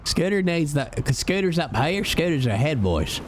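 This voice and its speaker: A man putting on a high, head-voiced male character while congested with a cold. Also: sing-song, moderate pace, a little hiss or hum. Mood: explaining. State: stuffy nose from a cold.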